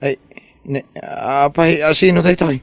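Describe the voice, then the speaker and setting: A man with an Ilocano accent playing a lovesick, dejected young delivery rider in a comedy drama, halting and plaintive. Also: fairly high pitch, steady tone, a little hiss or hum, close to an everyday voice. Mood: hurt, pleading. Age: young adult.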